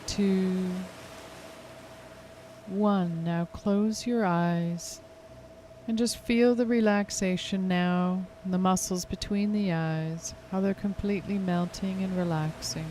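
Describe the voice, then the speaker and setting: A woman leading a hypnotic guided meditation in a soothing, unhurried, gently instructing way. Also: lowish voice, slight background noise. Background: music clearly behind the voice.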